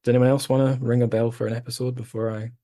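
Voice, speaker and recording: A man's voice: medium pitch, little pitch movement, quick pace, dark tone, no echo, clean studio sound. A man hosting a podcast, chatting casually in a rambling, self-deprecating way with many restarts. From Scotland. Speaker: podcast host. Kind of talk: casual chat.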